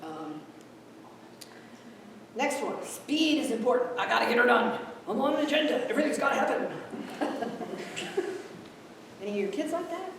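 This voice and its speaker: A woman's voice: a little high, moderate pace, gravelly, long pauses, a little hiss or hum. Woman speaking in a roomy hall. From Ethiopia. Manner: animated, acts out quoted dialogue. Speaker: conference speaker. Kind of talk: live conference talk. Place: large echoey hall. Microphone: distant room mic. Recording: echoey hall from far off.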